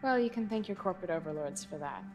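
An actress playing a tough, distrustful young special-tactics officer, curt, guarded and sarcastic in a video game scene. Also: female voice, on the low side, lively intonation, fast talker, lo-fi sound, lightly acted. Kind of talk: video game cutscene acting. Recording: loud music behind.

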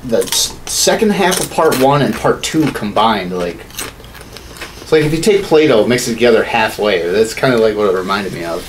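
A man's voice: lowish voice, lively intonation, moderate pace. A man in his thirties chatting casually and bluntly as a podcast co-host, swearing freely as he gives his opinions. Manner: blunt, profane. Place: small home studio room. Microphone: podcast mic on desk boom arm. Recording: clearly audible background noise.

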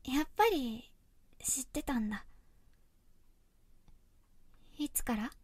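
A woman's voice: high voice, normal speed, darkish tone, husky, dry recording. A woman voicing an anguished young werewolf girl in a voice drama, resolute but emotional. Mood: quiet, tense. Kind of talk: Japanese voice drama acting.

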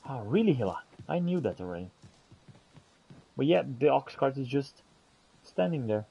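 A man's voice: medium pitch, sing-song, moderate pace, creaky, dark tone, husky, highs cut off. A man role-playing aloud as he plays a fantasy game, mixing archaic theatrical phrases with casual asides. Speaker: gameplay streamer role-playing. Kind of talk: in-character role-play commentary on a fantasy game.

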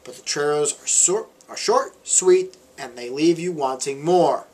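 A young man with a slightly high voice talks to a camera in his bedroom. He delivers a casual opinion piece in an emphatic, conversational way, like a vlogger making his case. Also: measured pace, darkish tone, slightly rough. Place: small bedroom, indoors. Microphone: camera or webcam at arm's length.

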